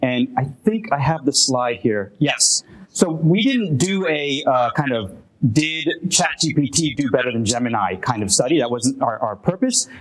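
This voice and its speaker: A man presenting his research at a workshop and answering audience questions in a halting, self-correcting way, with frequent fillers and restarts. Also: mid-range voice, lively intonation, low-quality recording. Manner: hesitant, many restarts and fillers. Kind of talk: academic Q&A at a workshop. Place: conference room.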